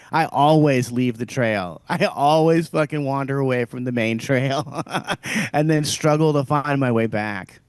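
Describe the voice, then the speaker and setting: A man's voice: mid-range voice, smooth, no echo. Profane, rambling male podcast host. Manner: profane, rambling, laughs often. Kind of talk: crude casual chat.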